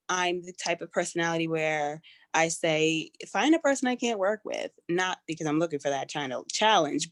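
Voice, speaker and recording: A woman's voice: on the low side, fairly bright tone, no room sound, studio quality. A woman from Rhode Island giving an upbeat, effusive self-introduction at an online meeting, repeating words for emphasis. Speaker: task force member.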